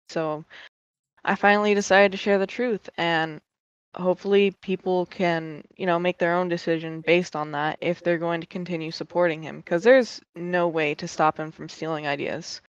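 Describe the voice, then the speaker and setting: A speaker with a smooth, clear voice lays out their plans in a measured, deliberate manner. The call audio is dry and dull, with a lo-fi tone. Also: mid-range voice, no echo. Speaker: game community owner. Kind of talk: casual interview answer.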